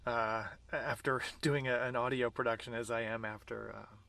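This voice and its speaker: A man's voice: mid-range voice, fairly even intonation, normal speed, vocal fry, bright, forceful tone. A man in the role of interviewer, talking in a casual, conversational style.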